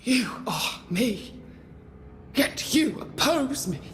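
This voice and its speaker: A woman's voice: high-pitched, sing-song, slow, slight background noise, exaggerated voice. An actress playing a shattered, vengeful female spirit villain in a video game, ominous and imperious. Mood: wrathful. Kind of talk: dramatic video game acting.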